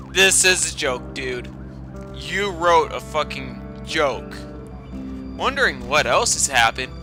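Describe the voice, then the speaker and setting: A man with a slightly high voice reads a story dramatically and mocks it as he goes. His asides are sarcastic, sweary and exclamatory, and video game music plays clearly behind him. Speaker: storytime narrator. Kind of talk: dramatic reading with mocking commentary.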